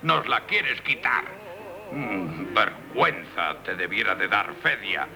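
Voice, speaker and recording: An actor with a Castilian Spanish accent playing a gruff, grasping, reproachful father in 1970s radio theatre. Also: on the low side, big pitch swings, takes it slowly, pushed and bright, heavily acted. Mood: bitter indignation. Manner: reproachful, blustering. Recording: 1970s radio drama with music behind.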